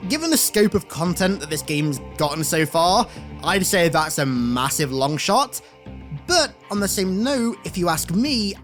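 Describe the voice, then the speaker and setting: Male video host, animated and swooping delivery, conversational gaming commentary with wry asides, light music underneath. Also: sits high. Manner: conversational, direct address to viewers, wry asides. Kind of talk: casual scripted video commentary.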